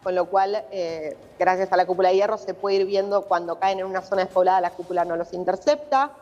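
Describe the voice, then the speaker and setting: A woman's voice: on the low side, fairly quick, a little mellow. A woman with an Argentine accent, a journalist explaining a situation in a live news interview. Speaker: journalist reporting from abroad. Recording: muffled remote link, long reverberation.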